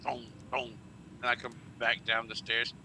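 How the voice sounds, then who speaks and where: A man talks casually as a player in a tabletop roleplaying game. His voice is average in pitch and his manner blunt and informal. The recording has plainly audible background noise. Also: completely flat delivery, unhurried, sharp tone. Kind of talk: casual tabletop roleplay, narrating own character. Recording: noisy, ambient background noise.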